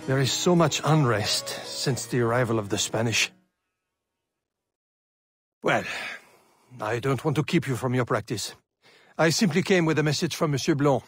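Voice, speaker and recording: An actor playing a doting older gentleman father in a video game, with a gentle, formal, measured manner. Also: medium pitch, husky, dry recording, faint music behind, close to an everyday voice. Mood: fond, concerned. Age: middle-aged or older. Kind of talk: video game cutscene acting.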